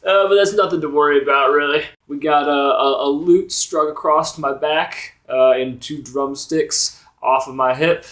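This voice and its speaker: A man's voice: a little high, moderate pace, few pauses, fairly bright tone. Casual man bantering through a tabletop game. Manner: jokey, sarcastic banter. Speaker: player in a tabletop role-playing game. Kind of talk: casual improvised role-play.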